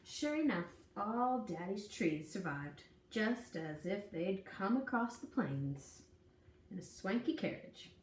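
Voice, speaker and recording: Animated woman reading a children's storybook aloud. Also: mid-range voice, slow pace. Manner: folksy, dramatic storytelling delivery. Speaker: storybook reader. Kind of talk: expressive read-aloud of a children's picture book. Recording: echoey room, distant mic, faint noise.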